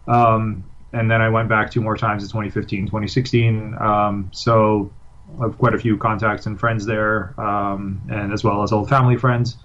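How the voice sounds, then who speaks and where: A man's voice: lowish voice, flat delivery, normal speed, fairly bright tone, dull audio. A man from Ukraine, a writer appearing as a guest, answering questions hesitantly with many ums and uhs. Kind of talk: interview answers.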